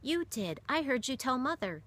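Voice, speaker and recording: A woman's voice: sits high, very animated, normal speed, a bit bright, no echo, voice pushed up, exaggerated voice. A woman voicing an earnest young boy who asks questions and stammers when upset in a read-aloud story. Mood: curious.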